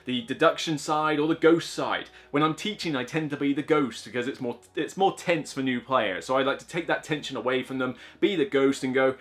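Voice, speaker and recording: A man's voice: medium pitch, brisk, few pauses. A man reviewing board games in a casual, enthusiastic, rambling way, full of fillers and asides.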